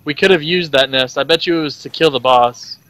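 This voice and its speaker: Bright-voiced man bantering over a game. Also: on the high side, brisk, poor audio. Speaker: gaming video co-host. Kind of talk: casual gameplay banter. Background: video game ambience.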